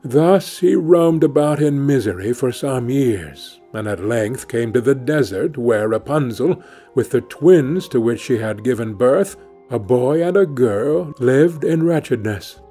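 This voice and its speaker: A man narrating a scripted fairy tale as an audiobook reader, in a measured storytelling manner. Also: mid-range voice, lively intonation, dark tone, slightly rough. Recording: music playing behind the voice. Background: music.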